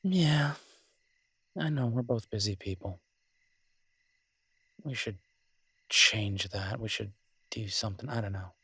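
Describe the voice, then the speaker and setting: Low, husky, tender werewolf boyfriend played by a man. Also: takes it slowly, pauses often, sharp tone, no room sound, full character voice. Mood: hopeful, affectionate. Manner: hesitant, tender, conversational, lightly teasing. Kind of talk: romantic roleplay audio, intimate casual conversation.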